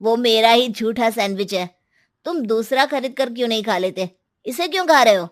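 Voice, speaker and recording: A spoiled younger brother in a storybook tale acted out by a woman. He sounds high-pitched, self-centred and dismissive of everyone around him. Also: normal speed, natural voice. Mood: defensive.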